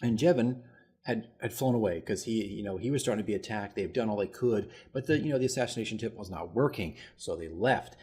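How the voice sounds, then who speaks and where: A man's voice: fairly low pitch, fast, darkish tone, breathy edge. A man speaking casually as a dungeon master recapping a game, rambling and self-deprecating as he tells the story. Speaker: dungeon master keeping a campaign diary.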